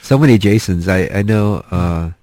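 A man hosting an interview, asking halting questions and often backing the guest with "yeah". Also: deep voice, animated, darkish tone, no room sound, clean studio sound. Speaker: interview host. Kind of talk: casual interview conversation.

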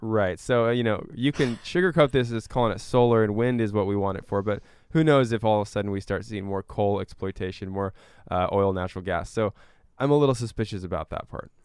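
A man speaking as an interview guest, explaining step by step in a measured, conversational way with careful qualifications. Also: on the low side, darkish tone, smooth, no room sound, studio quality. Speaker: interview guest, climate advocate.

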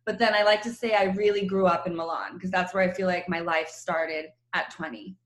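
A woman from Croatia, a novelist guest on a radio interview by phone, chatty and animated, laughing often. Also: on the low side, a little fast, roomy sound. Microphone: phone call.

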